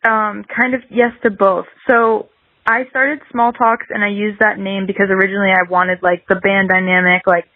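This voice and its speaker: A woman's voice: medium pitch, flat delivery, normal speed, no echo. A young woman talking casually as an interview guest, rambling and peppering her sentences with "like". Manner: rambling, dense with filler "like". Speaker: podcast guest, singer-songwriter. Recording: dull lo-fi radio-like line.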